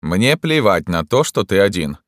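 A man voicing a bossy, grumbling old doctor with a creaky, grating voice in an audiobook. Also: low-pitched, very animated, unhurried, a bit bright, dry recording, clean studio sound, pitched above the performer's own voice, heavily acted. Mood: irritable. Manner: grumbling, authoritative. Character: cranky, bossy old male doctor, gnomish and grey-bearded.

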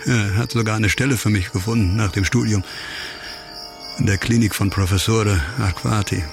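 Grave ex-doctor, low gravelly voice, bitter, halting, radio crime drama, music behind. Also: male voice, steady tone. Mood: somber, reflective. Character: bitter, grave disgraced former doctor, policeman's son.

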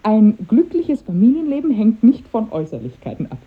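An actress playing a lively, emotional young wife with a breathy voice in a 1950s German radio drama. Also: female voice, on the high side, brisk, exaggerated voice. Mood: amused, embarrassed. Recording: thin, muffled old radio recording.

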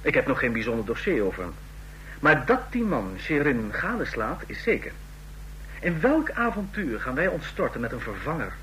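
Calculating secret policeman, medium-pitched. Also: very animated, moderate pace, a bit bright, poor audio. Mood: dryly calculating. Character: calm, calculating secret police dossier-keeper. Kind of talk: old Dutch radio drama acting. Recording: old radio recording with faint hum.

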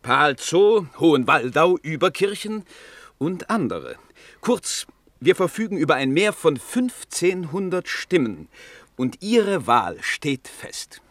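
An actor playing a flattering small-town party dignitary in a 1960s German radio play, speaking in formal, measured oratory. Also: male voice, a little high, no room sound, heavily acted. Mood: solemn.

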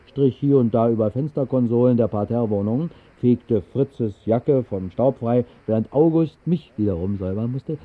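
An actor narrating a radio drama as a wistful storyteller, measured and deadpan in a literary style. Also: male voice, medium pitch, natural voice. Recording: muffled band-limited old radio recording.

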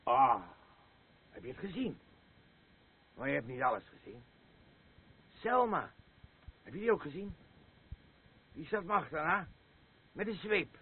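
An actor playing a boastful, crude and rambling drunken old war veteran in a radio play, with a husky voice. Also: male voice, fairly high pitch, very animated, full character voice. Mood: agitated. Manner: slurring, rambling, halting, blustering. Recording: muffled lo-fi radio play recording.

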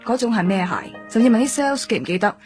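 A woman's voice: a little high, very fast, darkish tone, soft background music, lightly acted. A woman with a Hong Kong Cantonese accent playing a seasoned, weary detective in a radio drama, casual and teasing. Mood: explaining. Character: wry, seasoned female police detective, weary senior colleague.

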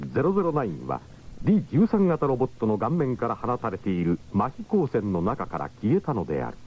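A man narrating a 1970s radio drama in a dramatic scripted style. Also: medium pitch. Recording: muffled 1970s radio broadcast, music behind.

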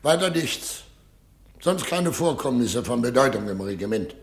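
A man's voice: medium pitch, expressive, a little slow. An actor playing a flustered old army general who stammers and defers to his ruler in a radio drama. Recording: roomy studio echo.

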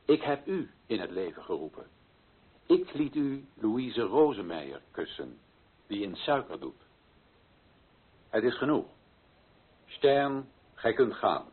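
An actor playing a proud, indignant male author who addresses readers in solemn, emphatic radio-drama declamation with a husky voice. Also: fairly low pitch, very animated, takes it slowly, long pauses, heavily acted. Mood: indignant, commanding. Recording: muffled, phone-like old radio recording.

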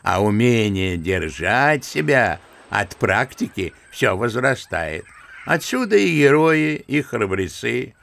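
An actor playing a genial elderly general in a radio play, sighing and reminiscing like an old-fashioned storyteller. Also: male voice, mid-range voice, very animated, slow, creaky, fairly bright tone, exaggerated voice. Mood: frank, reflective.

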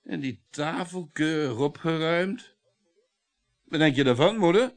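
A middle-aged husband in a radio drama, domineering and uneasy. His pitch moves in wide, lively swings as he needles and mocks. He talks on and on, with a sardonic edge. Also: a little slow. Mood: scornful. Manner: mocking, talkative, sardonic chuckles. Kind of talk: Dutch radio play acting.

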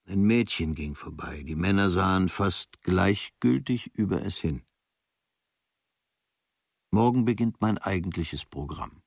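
A man reads a literary travel diary for radio as scripted narration, measured and in short, clipped phrases. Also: deep voice, creaky, no room sound, studio quality. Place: dry studio.

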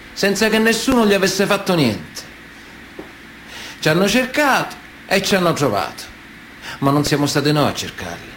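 Stern Sicilian mafia boss, speaking bluntly. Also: male voice, on the high side, a bit bright. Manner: deliberate, blunt, repetitive phrasing. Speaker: stage and radio actor. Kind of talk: dramatic radio theatre monologue. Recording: noticeable background noise.

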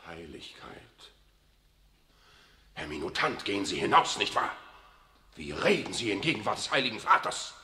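An actor playing a fawning, sharp older Vatican cardinal in a 1960s radio drama, with an unctuous way of speaking. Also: male voice, on the low side, big pitch swings, moderate pace, long pauses. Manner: unctuous, tacks on "nicht wahr". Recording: echoey room.

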